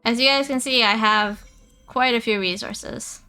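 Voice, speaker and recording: Bright-voiced woman chatting on a gaming stream. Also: a little high, measured pace, clean tone. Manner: informal, talks to viewers, repeats herself. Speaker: livestream host. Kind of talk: casual gaming livestream chat, thinking aloud. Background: music.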